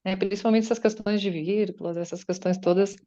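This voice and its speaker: A woman's voice: medium pitch, steady tone, a little fast, mellow, muffled recording. A woman giving a conversational, faith-based motivational talk on an online call, often acting out her listeners' doubts. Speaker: guest speaker and writer. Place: online meeting. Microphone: video call.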